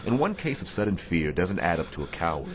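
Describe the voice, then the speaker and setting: A weary, commanding Navy destroyer captain in a radio drama. His low voice moves expressively, emphatic and deliberate, firm yet compassionate. Faint noise sits behind him. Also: big pitch swings. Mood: urgent persuasion. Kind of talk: dramatic radio theatre acting.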